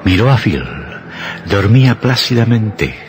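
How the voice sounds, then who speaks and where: An actor as a grave, ominous male storyteller, narrating a radio horror tale in a measured, suspenseful, dramatic way. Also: fairly low pitch, lively intonation, a little hiss or hum.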